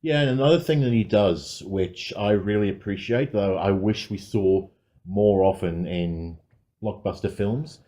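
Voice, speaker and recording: A man with a lowish, dark and mellow voice gives a casual film review as a podcast co-host. His delivery is measured and considered.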